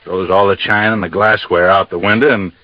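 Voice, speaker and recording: Guy playing chatty american coast guard skipper, lowish voice, old radio drama, dull dry recording. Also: steady tone, fairly quick, no echo, close to an everyday voice. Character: matter-of-fact, chatty male Coast Guard captain.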